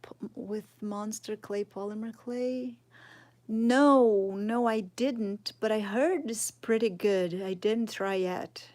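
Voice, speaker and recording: A woman's voice: mid-range voice, darkish tone. A woman in her thirties from Brazil with a Brazilian Portuguese accent, casually teaching sculpting live and thinking aloud, often dropping to a murmur as she works. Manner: slow, thinking aloud, drops to murmurs while working. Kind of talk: casual live tutorial, answering chat.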